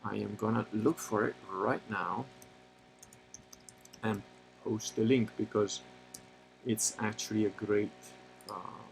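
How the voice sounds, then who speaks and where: A man with a low-pitched, gravelly voice talks loosely as a livestream host, wandering from point to point with frequent pauses and fillers. He turns blunt and opinionated when he takes a side. There is a faint hum of background noise behind him. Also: steady tone, rough recording. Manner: rambling, halting, many pauses and fillers. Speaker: livestream host answering chat. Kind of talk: casual unscripted monologue.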